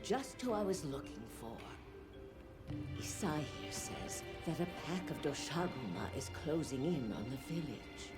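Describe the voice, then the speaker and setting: A stubborn old woman who leads a village in a video game. She speaks slowly and with stately pride, her pitch moving freely. Loud music sits behind her. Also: very animated. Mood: businesslike. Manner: measured, stately, dismissive. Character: stubborn, proud old female village elder. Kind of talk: video game cutscene acting.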